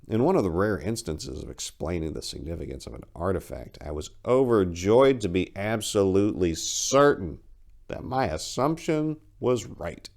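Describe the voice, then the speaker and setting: A man giving a theatrical, measured dramatic reading of a literary short story as its narrator. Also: sits low, gravelly, darkish tone.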